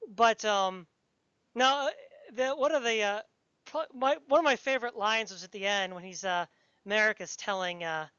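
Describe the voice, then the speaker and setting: A woman's voice: mid-range voice, moderate pace, fairly bright tone, smooth, no echo. Chatty woman interviewer, dull dry audio. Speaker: podcast interviewer. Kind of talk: casual interview chat.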